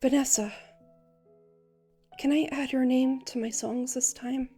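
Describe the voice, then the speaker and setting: Boyish bard god for a manga dub, gentle and playful, lyrical, mellow and lightly high female actor. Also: fairly even intonation, measured pace, soft background music. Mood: warm, playful. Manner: lyrical, poised storytelling. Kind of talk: theatrical fan manga dub narration.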